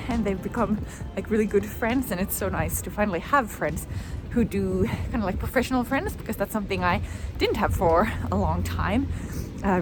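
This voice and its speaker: A young woman whose first language is Swedish, chatting casually in a relaxed, rambling way as she vlogs outdoors in the rain. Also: medium pitch. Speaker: vlogger. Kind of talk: casual vlog chat. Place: outdoors in rainy city streets.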